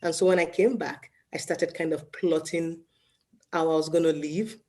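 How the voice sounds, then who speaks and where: Relaxed female guest from Nigeria, deep and warm tone, loose conversational delivery. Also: moderate pace. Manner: relaxed, fills with "you know". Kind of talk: casual interview answers.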